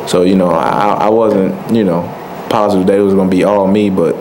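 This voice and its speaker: A young man answering postgame questions at a table microphone, soft-spoken and laid-back, with many fillers. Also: deep voice, fairly even intonation, brisk. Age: young adult. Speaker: college football player. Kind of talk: postgame press conference answers. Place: press conference room. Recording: noisy press room audio.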